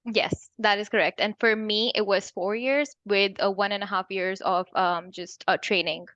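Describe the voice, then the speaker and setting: A woman's voice: mid-range voice, steady tone, normal speed, fairly bright tone, no echo, muffled recording. Hesitant young woman from Pakistan. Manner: plain, hesitant, with fillers. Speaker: remote dentist employee. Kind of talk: casual interview answers. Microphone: video call.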